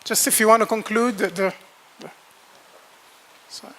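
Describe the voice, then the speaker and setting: A man's voice: sits high, steady tone, moderate pace, pushed and bright. A man giving a technical conference presentation on a hall stage, talking plainly with repeated words and fillers. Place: large dark lecture hall stage.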